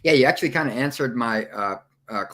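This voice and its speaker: A man hosting an interview podcast, asking questions in a quick, friendly way. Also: medium pitch, bright, forceful tone. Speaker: podcast host.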